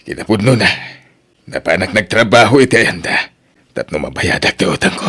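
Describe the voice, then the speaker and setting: An actor playing a weary, apologetic older uncle in a radio drama, with a raspy voice and an Ilocano accent. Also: male voice, low-pitched, animated, fairly quick, a bit bright, full character voice. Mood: weary, pleading. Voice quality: husky, raspy.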